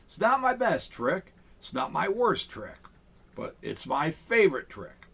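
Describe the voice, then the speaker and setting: A man's voice: a little high, measured pace, gravelly, husky, heavily acted. A man voicing a modest, earnest stage magician in a storybook read-aloud, lifting his voice for the lines. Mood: cheerful. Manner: raised, lively storybook delivery.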